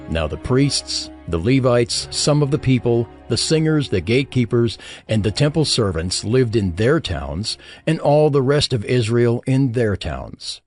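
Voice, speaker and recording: A man narrating scripture as a dramatized audiobook, in a solemn, measured storytelling manner. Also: lowish voice. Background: soft background music.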